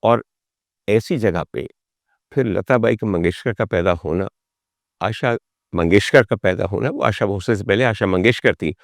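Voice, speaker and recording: Male storyteller, rhetorical and deliberate, dry sound. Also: lowish voice, gravelly, no echo. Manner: rhetorical, deliberate build-ups with repeated phrases. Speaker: host and storyteller of a music history talk. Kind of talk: dramatic spoken storytelling to the audience.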